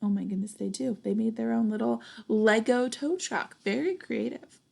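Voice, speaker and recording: A young adult woman reading a children's picture book aloud expressively, pausing to put questions and comments to young listeners. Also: fairly high pitch, gravelly, a little mellow. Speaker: storybook reader, teacher.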